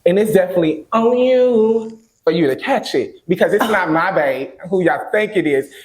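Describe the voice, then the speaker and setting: A man's voice: moderate pace, low-quality recording. A man hosting a podcast, playful and slangy in casual chat. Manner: playful, slangy, lively pitch swings. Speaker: podcast host.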